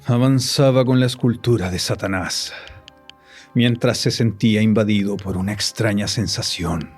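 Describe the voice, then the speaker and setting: A man with a Chilean Spanish accent narrating a horror story in a slow, ominous way, breaking into sinister laughs. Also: on the low side, a little mellow, faint music behind. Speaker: guest co-narrator.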